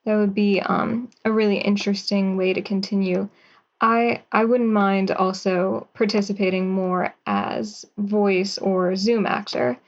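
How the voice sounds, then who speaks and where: A young woman in her twenties, a writer and actor, giving soft, thoughtful answers as an interview guest over a video call. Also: medium pitch, little pitch movement, unhurried, lo-fi sound.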